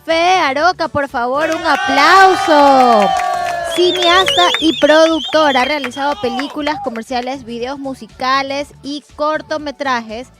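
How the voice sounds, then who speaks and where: A woman's voice: high-pitched, unhurried, over music. A woman hosting a casual chat show and introducing things in a conversational way. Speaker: show host.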